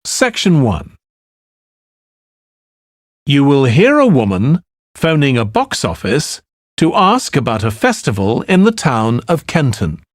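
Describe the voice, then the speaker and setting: A man reads scripted exam instructions formally and deliberately. His medium-pitched voice moves at a measured pace. The sound is dry, with no room echo. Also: huge pitch swings. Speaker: exam announcer.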